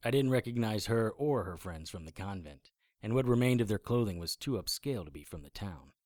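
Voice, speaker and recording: An actor playing a grim, deadpan occult investigator who narrates his own adventure in a noir audio drama. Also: on the low side, brisk, no room sound, clean studio sound, natural voice. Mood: guarded, urgent.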